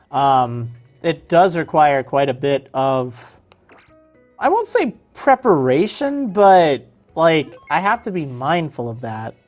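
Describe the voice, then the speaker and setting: A woman's voice: sits low, darkish tone. A woman streaming a video game in casual chat, answering viewers as she plays, her voice strained and tiring. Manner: chatty, answers viewer chat while playing.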